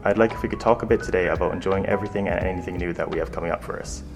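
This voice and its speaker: A man's voice: low voice, rapid-fire, over music. A man from Ireland co-hosting a community radio show, chatting casually with lots of fillers. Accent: Irish. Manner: relaxed, conversational with many fillers. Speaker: radio co-host. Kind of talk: bilingual community radio chat.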